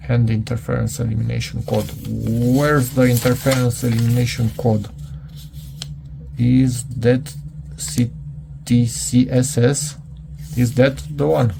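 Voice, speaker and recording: A man reviews a product hands-on in a casual, unscripted way. His voice is mid-pitched with a lot of gravelly fry, and he speaks haltingly, as if working things out aloud. There is clear noise in the recording. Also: flat delivery. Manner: hesitant, halting, muttering to himself.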